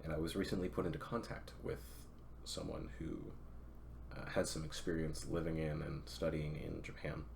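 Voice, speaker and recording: A man's voice: rumbling low, steady tone, moderate pace, creaky, a little mellow, a little hiss or hum. A young man reading a classic novel aloud on a stream, breaking off for chatty, self-correcting asides to his viewers.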